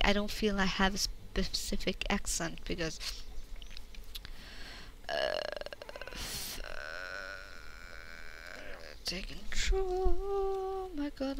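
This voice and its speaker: A woman's voice: mid-range voice, vocal fry, fairly bright tone, slight background noise. A woman streaming a crafting game, chatting casually, muttering to herself and answering chat questions. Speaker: gameplay streamer. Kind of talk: casual let's-play commentary.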